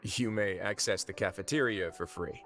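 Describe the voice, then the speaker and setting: An actor playing a suave, sardonic prison warden in a video game, speaking with wry authority. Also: male voice, lowish voice, moderate pace, over loud music, close to an everyday voice. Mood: businesslike.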